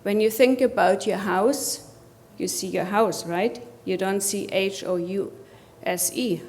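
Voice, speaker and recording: A woman with a German accent speaks from the podium at a conference, coaching her listeners on mindset. She keeps turning to the room with rhetorical questions, her pitch sitting in an ordinary middle range. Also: slow pace, darkish tone, a little hiss or hum. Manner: addresses audience with rhetorical questions. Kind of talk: motivational conference presentation.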